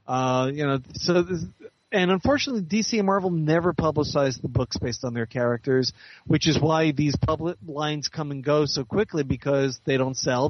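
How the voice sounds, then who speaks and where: A man's voice: on the high side, no echo. A man speaking as an interview guest, an author talking in a thoughtful, opinionated, conversational way. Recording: dull lo-fi recording with music behind.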